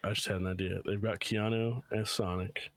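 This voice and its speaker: Male co-host, slightly low voice, close dry recording, blunt and slangy casual talk. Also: flat delivery, fairly quick, dry recording. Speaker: podcast co-host. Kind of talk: casual chat.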